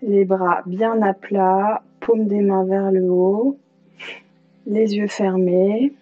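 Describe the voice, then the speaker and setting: A woman leading a guided yoga nidra meditation as an instructor, calm and soothing, leaving long pauses. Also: mid-range voice. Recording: slightly dull lo-fi sound, music behind. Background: music.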